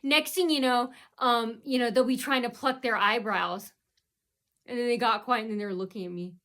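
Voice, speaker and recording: A woman from the United States rambles through a casual vlog monologue, her voice bright and forceful and pitched a little high. She fills every gap with um and uh and keeps starting over with "so anyway," drifting from point to point. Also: steady tone, moderate pace. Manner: meandering, keeps restarting with "so anyway"; heavy fillers, um and uh. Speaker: vlogger.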